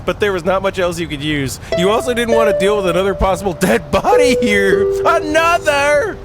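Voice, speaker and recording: High, animated man doing a playful let's play. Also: moderate pace. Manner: goofy, giggly, exclaiming, drifts between reading and quips. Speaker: gaming video host. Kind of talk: playful let's play, reading story aloud with joking asides. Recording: music behind the voice.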